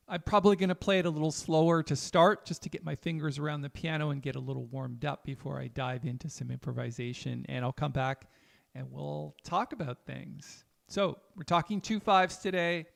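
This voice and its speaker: A man with a raspy voice, chatting casually while teaching jazz piano on a livestream. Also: a little high. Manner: relaxed, chatty, addresses chat viewers.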